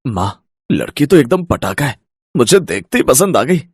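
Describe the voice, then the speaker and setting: A man's voice: mid-range voice, sing-song, moderate pace, husky, no room sound, clean studio sound. A man playing cheeky young men of a family comedy story, in an exaggerated, teasing manner. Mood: smitten. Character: young Indian men: cheeky suitor, groom, teasing brother-in-law.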